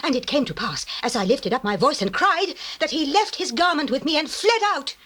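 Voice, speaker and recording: An actress playing a seductive, scheming wife in a dramatized scripture recording, declaiming archaic lines theatrically. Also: female voice, high-pitched, very animated, fairly quick, fairly bright tone. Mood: accusing, indignant.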